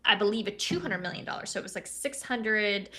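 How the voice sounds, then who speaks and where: Young woman co-host, high-pitched bright voice, chatty commentary with self-corrections. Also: fairly quick. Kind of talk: casual political commentary.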